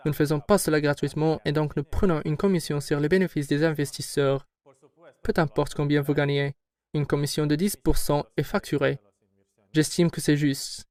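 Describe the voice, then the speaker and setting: A man speaking in a fairly high register gives prepared, explanatory answers in a firm, measured tone. The sound is dry and close, with no room around it. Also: darkish tone, no room sound. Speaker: company head answering questions. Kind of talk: formal prepared interview answers.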